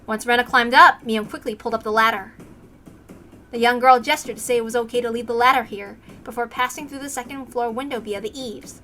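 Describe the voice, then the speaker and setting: High, bright woman narrating a story. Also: fairly quick. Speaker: streamer reading a visual novel aloud.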